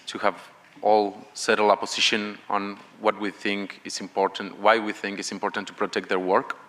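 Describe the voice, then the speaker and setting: A man gives a policy talk on a conference panel. His voice is lowish and bright, with a forceful edge, and his phrasing is hesitant, full of restarts and fillers.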